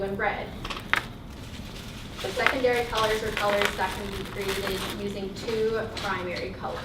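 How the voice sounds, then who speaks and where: A woman's voice: medium pitch, heavy background noise. Encouraging woman teaching in a roomy classroom. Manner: upbeat, encouraging, explains step by step. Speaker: college communication instructor. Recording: roomy classroom recording from a distance.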